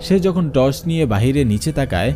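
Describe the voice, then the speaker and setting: A man's voice: medium pitch, lively intonation, brisk. A man narrating a horror cartoon story in a dramatic, suspenseful storytelling manner. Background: loud music.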